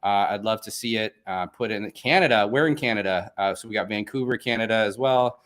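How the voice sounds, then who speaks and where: A man's voice: on the low side, a little fast, fairly bright tone. A man from Venezuela, a native Spanish speaker, hosting a live online event in a chatty, upbeat way with many fillers. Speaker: host.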